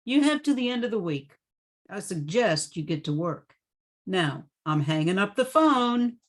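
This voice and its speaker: A woman's voice: mid-range voice, sing-song. Saucy, insistent female agent, acted by a woman. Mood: firm, saucy. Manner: brisk, no-nonsense, colloquial. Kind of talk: amateur radio play acting.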